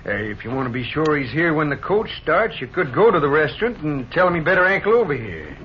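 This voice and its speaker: An actor playing a helpful, folksy Old West ticket agent, a little hesitant, in a 1950s radio western. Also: male voice, on the high side, sing-song, fast. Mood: obliging. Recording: muffled, hissy 1950s radio recording.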